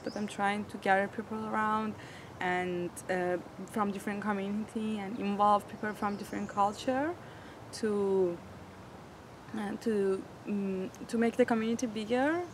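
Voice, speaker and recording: A young woman from Iran speaks in an earnest, searching way, with a mid-range voice. She feels for words in an as she shares her story. Traffic noise runs clearly behind her. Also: mid-range voice. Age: 20s. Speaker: interviewee. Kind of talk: personal storytelling.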